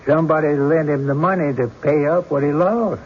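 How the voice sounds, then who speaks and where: An actor playing a hesitant, grudging country card player on the witness stand, halting and folksy, in a radio drama. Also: male voice, on the high side, close to an everyday voice. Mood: guarded. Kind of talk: 1970s radio drama acting. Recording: muffled, noisy old radio broadcast.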